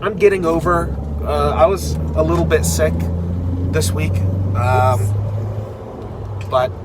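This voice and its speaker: A man's voice: on the high side, slow pace, very noisy. A young man with a stuffy cold, rambling casually through viewer comments on a live stream. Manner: rambling, filler-heavy, candid. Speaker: arm wrestler streaming a live recap.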